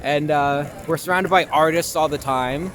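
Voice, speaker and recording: A man's voice: on the high side, normal speed, a bit bright, a little hiss or hum. A young American man giving casual, somewhat hesitant interview answers in informal language. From Long Island, United States. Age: young adult. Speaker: actor being interviewed.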